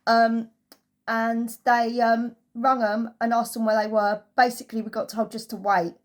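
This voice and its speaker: A woman's voice: on the high side, little pitch movement, moderate pace. A woman giving a casual, chatty holiday review as a travel vlogger, telling it through anecdotes.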